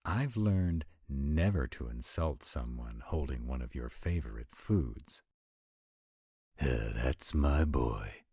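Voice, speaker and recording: A man's voice: rumbling low, lively intonation, slow pace, mellow, husky, no room sound, studio quality, exaggerated voice. A man voicing supernatural male characters at a dinner party in a theatrical roleplay audio drama. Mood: lordly, amused.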